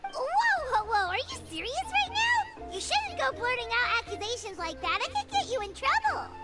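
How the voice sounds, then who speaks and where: A woman voicing a small chirpy female companion in a game, excitable and quick to get flustered. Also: full character voice. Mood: alarmed. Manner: excitable, flustered, high-pitched. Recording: game audio with music behind.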